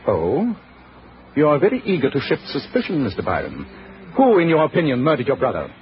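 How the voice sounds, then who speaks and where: Incisive gentleman detective, very varied intonation, old noisy radio drama sound. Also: on the high side, huge pitch swings, fairly quick, lo-fi sound. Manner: crisp, probing, dryly sardonic.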